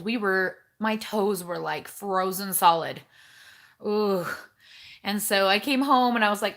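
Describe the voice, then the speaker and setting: A woman's voice: medium pitch, pushed and bright. A woman hosting a casual livestream from home, chatting with viewers and greeting them by name in a bubbly, rambling way. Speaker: livestream host. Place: home kitchen.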